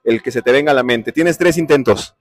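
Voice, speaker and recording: A man's voice: mid-range voice, brisk, dry recording. A man in his thirties with a Mexican accent, hosting a playful, teasing quiz with people in an indoor gym. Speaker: host.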